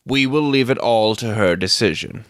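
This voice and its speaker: A man's voice: lowish voice, moderate pace, a bit bright, dry recording, natural voice. A man puts on a pompous young suitor from an audio story. The voice is nasal, smug and coarse, with pious self-importance. It is recorded close and dry. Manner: pompous, sanctimonious. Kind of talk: literary audio story reading.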